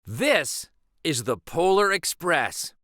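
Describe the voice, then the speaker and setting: A man's voice: on the high side, sing-song, slow, fairly bright tone, no echo, clean studio sound, exaggerated voice. A man voicing a jovial, theatrical train conductor in a children's storybook read-aloud. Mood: booming, inviting. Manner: theatrical, emphatic. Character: jovial booming adult male train conductor.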